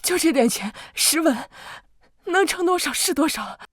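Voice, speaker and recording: A woman's voice: high-pitched, lively intonation, a little slow, no echo. An actress playing a desperate, humble poor mother in a costume drama, begging in a plaintive voice. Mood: pleading. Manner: pleading, plaintive.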